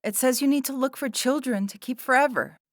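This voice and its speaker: An indignant young schoolgirl from a storybook, acted out by a man, wailing her accusations in a high-pitched voice. She is defiant and sounds close and dry. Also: a little fast, no room sound. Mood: incredulous, accusing.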